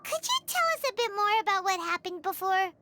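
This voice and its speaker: A woman voicing a small, childlike, excitable fairy companion in a video game, with a squeaky, curious delivery. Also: a little fast, very noisy. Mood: excitable, eager. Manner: speaks of herself in third person. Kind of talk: video game character acting.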